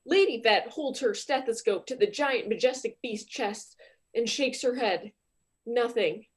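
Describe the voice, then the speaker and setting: A woman's voice: on the high side, unhurried. A woman narrating a comedy in a grand, tongue-in-cheek mock-epic style.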